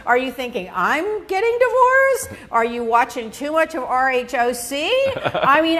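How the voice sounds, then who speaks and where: A middle-aged woman hosting a podcast at a desk mic in her home studio, chatting animatedly, gossiping and laughing as she answers listener questions. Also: high-pitched. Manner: animated, gossipy, laughs often, acts out voices. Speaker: podcast host. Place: home studio office, indoors. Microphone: desk mic on stand.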